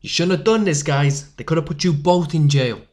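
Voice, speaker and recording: A young man voicing a tough, protective newsboy leader who scolds in rough street slang. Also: a little high, moderate pace, a little mellow, close to an everyday voice. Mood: scolding.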